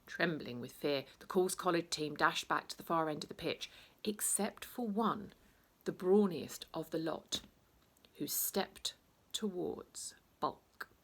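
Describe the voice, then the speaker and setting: A woman reads a children's bedtime story in a fairly low voice. She takes her time, pacing it very slowly, and plays up sound words theatrically.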